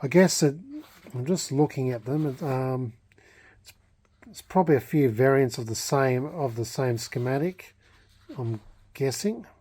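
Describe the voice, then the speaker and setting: Hesitant man with a mellow voice. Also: mid-range voice. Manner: hesitant, halting, thinking aloud. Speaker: hobbyist vlogger restoring a vintage radio. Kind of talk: casual unscripted vlog narration.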